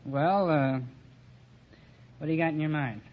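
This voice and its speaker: A man's voice: on the high side, measured pace. A man from St. Louis giving hesitant, literal answers as a contestant on a vintage radio quiz. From St. Louis, USA. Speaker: quiz contestant, furniture salesman. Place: radio studio with audience. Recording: muffled, very noisy old radio with audience.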